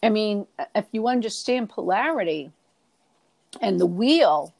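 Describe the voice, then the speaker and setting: A woman's voice: medium pitch, animated, measured pace, creaky, darkish tone, no echo. A woman with a Boston accent, co-hosting a reflective spiritual podcast and coaching listeners with repeated rhetorical questions. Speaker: podcast co-host.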